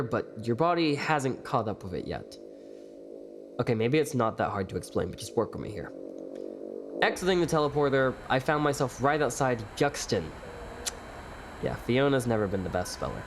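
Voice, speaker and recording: A man's voice: mid-range voice, lively intonation, moderate pace, noisy background, natural voice. A man playing a weary, glamorous performer who narrates his own story in an audio drama with sardonic, theatrical grumbling. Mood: wry, resigned. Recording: music behind the voice.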